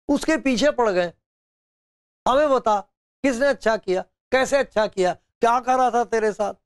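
A man's voice: high-pitched, no room sound. Older man preaching in a studio. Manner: storytelling, acting out quotes with laughs and gestures. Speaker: pastor. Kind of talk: sermon to camera. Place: studio with bookshelves, indoors. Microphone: lapel.

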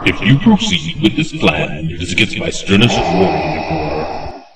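A man playing a menacing, formal armoured Sith lord in a video game cutscene, speaking gravely and deliberately. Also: sits low, big pitch swings, distant mic, background noise. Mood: stern disapproval. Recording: echoing voice under loud music.

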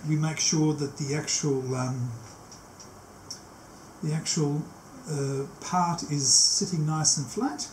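A man in his sixties giving an unscripted hands-on demonstration, explaining step by step and pausing as he works, picked up by a camera mic in a small workshop. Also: on the high side, a little mellow. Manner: step-by-step explaining, often pausing mid-task. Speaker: technician demonstrating a tape machine setup. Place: small cluttered indoor workshop. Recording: echoey room, faint background noise.

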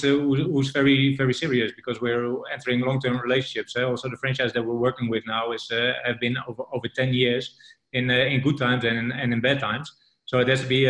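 Halting man in a roomy space. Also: mid-range voice, a bit bright. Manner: halting, repeats words. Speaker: panelist, franchise business owner. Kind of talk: panel discussion. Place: room with large windows, video call.

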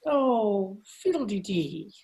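Meek, fussy older gentleman male actor, high thin register, easily flustered, for old-time radio comedy. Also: very animated, takes it slowly, heavily acted. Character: timid, prissy, mild-mannered male radio director. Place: living room by staircase, video call. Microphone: video call.

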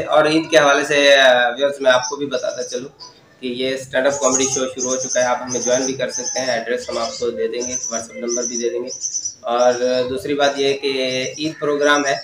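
Chatty self-mocking young comedian. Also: male voice, medium pitch, roomy sound, noisy background. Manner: chatty and self-mocking, laughs through his own lines. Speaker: stand-up comedian and channel host. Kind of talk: casual stand-up comedy monologue. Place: studio in front of a lit backdrop. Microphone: lapel mic.

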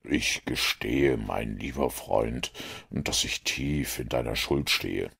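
Male reader as storybook detective, calm, superior, husky, bottomless low pitch, condescending. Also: heavily acted. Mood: measured, condescending. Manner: measured, dryly condescending.

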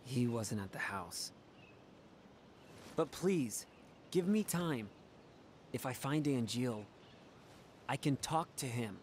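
A man's voice: mid-range voice, animated, takes it slowly, long pauses, a little hiss or hum. A man playing an earnest, loyal and hopeful young male warrior in a video game. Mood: earnest, pleading. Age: young adult. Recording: loud game music over the dialogue.

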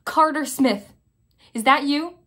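A high-pitched, wry teenage girl, acted by a young woman in a stage monologue. She argues in a halting, conversational way, full of restarts, with nervous defiance and indignation. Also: fairly bright tone. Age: young adult. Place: small plain room indoors.

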